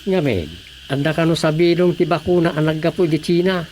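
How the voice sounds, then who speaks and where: A man's voice: fairly high pitch, steady tone, brisk, darkish tone, slight background noise, natural voice. A man playing a gossipy, grumbling villager in a radio comedy drama, with an Ilocano Filipino accent.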